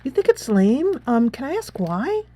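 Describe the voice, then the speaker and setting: A woman voicing a sweet, earnest, eager high school girl from a visual novel game. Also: big pitch swings, moderate pace, dark tone, husky, soft background music, heavily acted. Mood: earnest, hurt. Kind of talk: visual novel character read aloud.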